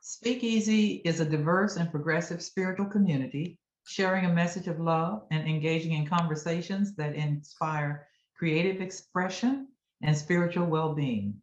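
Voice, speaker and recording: Woman reads mission statement, very low voice, roomy echo, muffled recording. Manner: measured, solemn recitation. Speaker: community member reading the mission. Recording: dull echoey room recording.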